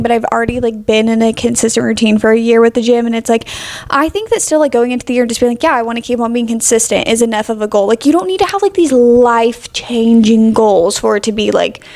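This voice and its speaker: A young woman co-hosts a casual podcast chat, her voice a touch high and gravelly with vocal fry. She talks in a lively, chatty way and laughs easily. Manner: chatty, animated, laughs often. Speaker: podcast co-host.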